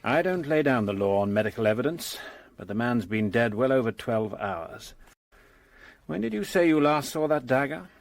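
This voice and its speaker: Curt, commanding police detective. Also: male voice, mid-range voice, expressive, moderate pace, vocal fry, dry recording. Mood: brisk, assured. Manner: curt, authoritative. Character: brisk, self-assured French police detective, commanding and condescending. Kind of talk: radio drama dialogue.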